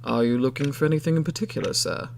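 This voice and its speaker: A man voicing a tall, mid-thirties shopkeeper in a storybook, soft and authoritative, formal, measured and quietly menacing. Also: medium pitch, creaky, slight background noise, lightly acted. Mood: smooth, patient.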